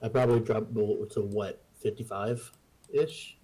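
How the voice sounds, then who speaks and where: A man's voice: on the low side, fairly even intonation, a little slow, warm tone. A man talking casually as a player in a tabletop game session. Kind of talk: casual chat.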